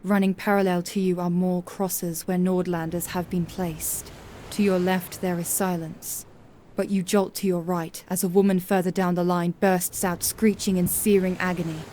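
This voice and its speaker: A woman narrating a scripted grim fantasy story in a solemn, measured, dramatic storytelling style. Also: on the low side, little pitch movement, mellow, a little hiss or hum.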